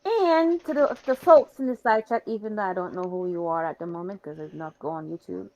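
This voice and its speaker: A woman's voice: on the low side, fairly quick, warm tone, lo-fi sound. A woman from Guyana chatting casually as a guest on a gaming show. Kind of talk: casual chat.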